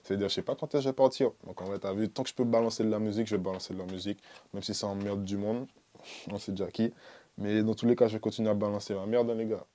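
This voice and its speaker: A man's voice: lowish voice, flat delivery, racing. A young man from the Antilles, talking casually and in slang as a music artist being interviewed. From French Antilles (born in Guadeloupe, lived in Martinique and French Guiana). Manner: slangy, informal, peppered with "tu vois" and shout-outs. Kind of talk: casual interview talk to camera. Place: small dark recording studio, indoors.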